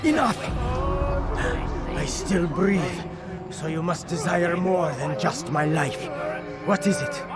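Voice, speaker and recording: A man's voice: on the high side, slow, pauses often. An actor playing a beaten, bitter captive in a video game, his voice strained with pain. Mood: defiant, pained. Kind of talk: dramatic video game voice acting. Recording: loud music over the voice.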